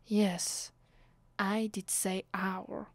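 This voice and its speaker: A woman with an Italian accent playing a bitter, possessive, centuries-old vampire aristocrat in an intimate ASMR roleplay, speaking softly and dramatically, often dropping into a breathy whisper. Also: mid-range voice, little pitch movement, no room sound. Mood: haughty, possessive. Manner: slow, dramatic, imperious.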